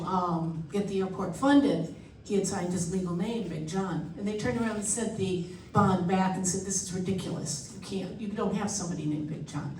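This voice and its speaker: A woman's voice: fairly low pitch, a little mellow, a little hiss or hum. A woman running a neighborhood watch meeting, telling a story and calling on people with questions. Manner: chatty storyteller. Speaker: meeting organizer. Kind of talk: chairing a community meeting. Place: church hall meeting room. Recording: echoey hall, speaker far from mic.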